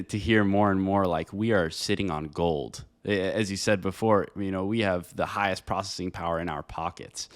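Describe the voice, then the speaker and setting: A man's voice: low-pitched, fairly bright tone, no echo, studio quality. A young man asking questions in a conversational interview style. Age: young adult.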